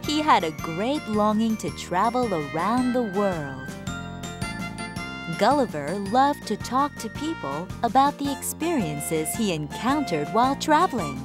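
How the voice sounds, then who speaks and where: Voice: mid-range voice, sing-song, a little slow. A narrator reading a scripted children's story, with music and chimes behind the voice.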